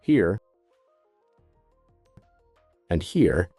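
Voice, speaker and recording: Male tutorial narrator, methodical product demonstrator with animated intonation, recorded close and dry. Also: low-pitched, very slow, breathy edge, no room sound, faint music behind. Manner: methodical, step-by-step instruction.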